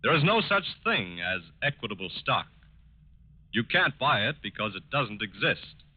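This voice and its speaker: Old time radio ad guy, formal, really animated delivery, dull lo-fi sound. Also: lowish voice, moderate pace, slightly rough. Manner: formal, emphatic. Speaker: radio announcer. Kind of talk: 1940s radio sponsor announcement. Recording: dull vintage radio recording.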